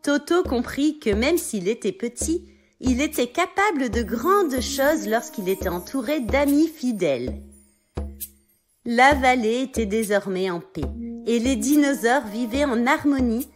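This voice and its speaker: Female storyteller for scripted children's tales: higher voice, lively intonation, music underneath.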